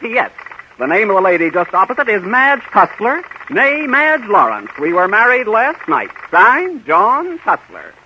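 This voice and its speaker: Sardonic, high-voiced playwright. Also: male voice, huge pitch swings, measured pace, full character voice. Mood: mocking. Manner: dry, sarcastic telegram delivery. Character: sardonic, defiant playwright, adult man, mocking. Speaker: actor in radio comedy. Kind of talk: 1930s radio comedy acting. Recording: noisy, muffled 1930s radio recording.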